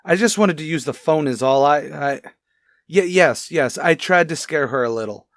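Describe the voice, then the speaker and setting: A man's voice: on the high side, animated, moderate pace, no room sound, studio quality. A man playing a cocky, defensive salesman under arrest in a radio crime drama, wisecracking and sneering. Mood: defensive, agitated. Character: cocky, defensive thirty-one-year-old clothing salesman under arrest. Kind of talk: old-time radio crime drama acting.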